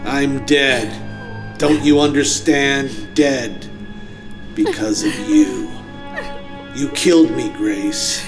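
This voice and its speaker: An actor playing the bitter, accusing ghost of a dead husband in a 1950s radio mystery, mournful and melodramatic. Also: male voice, mid-range voice, drawn-out words. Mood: accusing, anguished. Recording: loud music over the voice.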